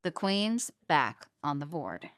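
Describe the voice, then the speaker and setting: A woman's voice: sits low, very animated, slow, fairly bright tone, no echo, studio quality. A woman narrating a scripted drama story in a dramatic style.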